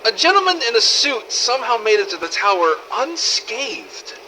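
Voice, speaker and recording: Man playing a sardonic survivor with a bright, lively voice. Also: sits high, moderate pace, slight background noise, full character voice, rough recording. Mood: matter-of-fact. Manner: wry, exasperated, sweary. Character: sardonic, weary male survivor runner in a zombie apocalypse. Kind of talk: in-character audio diary narration, fan-made game audio logs.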